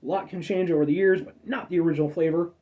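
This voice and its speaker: A man's voice: a little high, steady tone, fast, far from the mic, phone-quality sound. A man in his thirties hosting a casual soda review show, rambling with chatty asides and a slightly stuffed-up nose. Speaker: food review show host.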